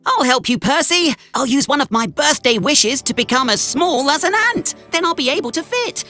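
A woman voicing a cheerful, helpful young male tank engine in a children's cartoon, with an eager, chirpy, expressive manner. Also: sits high, moderate pace, soft background music. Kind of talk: children's animated cartoon acting.

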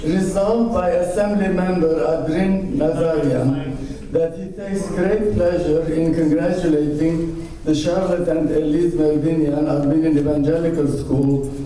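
A man's voice: a little high, fairly even intonation, dark tone. An older man as emcee giving formal banquet remarks at a podium, reading with small stumbles, recorded from across a large hall. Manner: measured, reads from a page with small stumbles. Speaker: event emcee. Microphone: podium microphone heard from a far camera. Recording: echoey hall, far-off mic, room noise.

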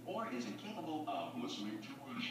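A man voicing a calm, pre-recorded facility announcer in a video game, measured and formal. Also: on the high side, a little hiss or hum. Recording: game audio from TV speaker, roomy and distant.

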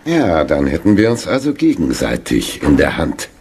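An actor playing a vicious, scheming, sneering Nazi party boss in a German radio play. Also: male voice, extremely low pitch, huge pitch swings, moderate pace, a crowd in the background, exaggerated voice. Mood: smug, cornered.

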